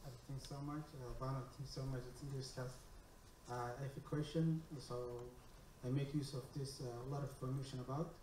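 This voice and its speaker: Male floor questioner, Somali accent, medium pitch, fragmented delivery, echoing room. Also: steady tone, dark tone. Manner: halting, fragmented, repetitive. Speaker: audience questioner. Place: indoor conference room. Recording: echoey room, distant mic, faint noise.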